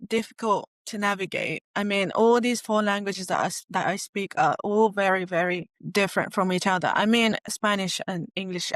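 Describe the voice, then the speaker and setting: A woman's voice: fairly low pitch, fairly bright tone, dry recording. A young woman from Bulgaria, a first-time podcast guest, talking in a hesitant, friendly way with many fillers. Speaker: guest, design studio founder. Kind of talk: podcast interview.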